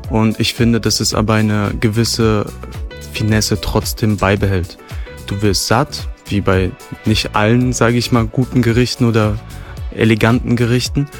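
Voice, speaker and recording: A man's voice: lowish voice, level tone, unhurried, faint music behind. A man, son of a restaurant owner, talking in a casual radio interview, fluent and descriptive with frequent fillers. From Germany. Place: restaurant terrace.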